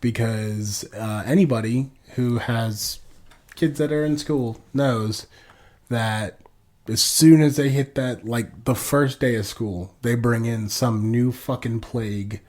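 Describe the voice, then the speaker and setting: A man's voice: mid-range voice, slow, a little mellow. Congested, casual man in his thirties, podcast co-host, rambles and swears. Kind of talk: casual chat.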